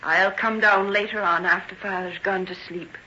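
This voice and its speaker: Bright-voiced, protective country daughter played by a woman. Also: medium pitch, steady tone, normal speed, lightly acted. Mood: wary. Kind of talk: old-time radio drama acting. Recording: dull old radio recording, faint noise.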